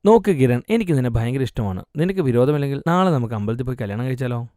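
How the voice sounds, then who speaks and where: A man plays a kind young food-stall seller in a cartoon storybook tale. He talks quickly with lively swings in pitch, earnest and reassuring in his delivery. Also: dry recording, studio quality, natural voice. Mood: earnest, tender. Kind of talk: acted dialogue in a cartoon moral story.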